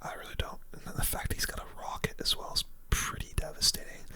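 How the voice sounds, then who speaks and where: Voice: fast talker, sharp tone. Whispering ASMR gamer. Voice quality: breathy unvoiced whisper. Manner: casual, mildly grumbling, slangy. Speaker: gaming video host. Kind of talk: whispered ASMR gaming commentary.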